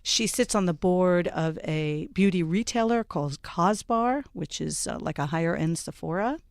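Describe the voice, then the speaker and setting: A woman hosting a radio panel show, introducing her guests in a measured way with plenty of ums and uhs. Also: on the low side, animated, dry recording, studio quality. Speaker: radio talk show host. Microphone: studio microphone.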